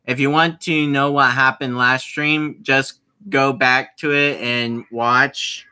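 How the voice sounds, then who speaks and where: A streamer talking to viewers while working through a visual novel, in a very slow, drawn-out way. The voice is bright and clear. The talk rambles, doubles back on itself and breaks into quick apologies. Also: mid-range voice, fairly even intonation. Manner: chatty, rambling, with many restarts and apologies. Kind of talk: casual live-stream chat while playing a visual novel.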